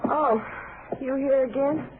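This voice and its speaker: An American actress playing a spoiled, scheming rancher's daughter with a sharp, theatrical manner in a 1950s western radio drama. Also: female voice, sits high, takes it slowly. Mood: cool, guarded. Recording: noisy, narrow 1950s radio broadcast.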